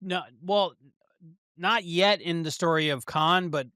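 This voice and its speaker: Male co-host, bright and forceful, slightly high for a man, casual fan-review chat, very dry sound. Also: measured pace, clean tone, dry recording. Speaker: podcast co-host.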